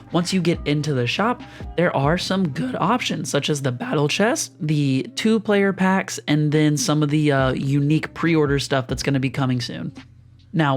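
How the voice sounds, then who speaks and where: A young man with a slightly hoarse, rough voice from a sore throat, casually walking viewers through board game choices in a chatty, explanatory way. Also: fairly high pitch. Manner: chatty, explanatory, uses lots of fillers. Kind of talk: casual screen-share walkthrough.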